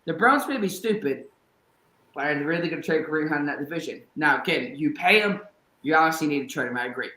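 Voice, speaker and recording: A man co-hosting a sports talk show, ranting with fired-up energy and breaking into shouts. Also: a little high, animated, fast, reverberant, poor audio. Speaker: podcast co-host.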